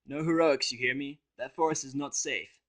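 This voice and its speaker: A man voicing a laid-back, caring young female pony with slangy, animated delivery in a fan fiction audio story. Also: medium pitch, moderate pace, pitched above the performer's own voice, natural voice. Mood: urging.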